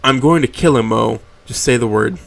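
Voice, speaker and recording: A man voicing a furious young dinosaur character who swears and shouts in rage, in a read-aloud story. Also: mid-range voice, normal speed, gravelly. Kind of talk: reading a fan story aloud.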